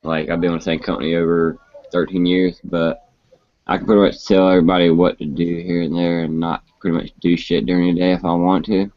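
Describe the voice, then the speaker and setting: A man's voice: sits low, level tone, a little fast, no room sound. A man in his late twenties chatting casually about motorcycles in a rambling, laid-back way with frequent restarts. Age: 20s. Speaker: host. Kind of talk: casual chat. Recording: muffled lo-fi live stream audio.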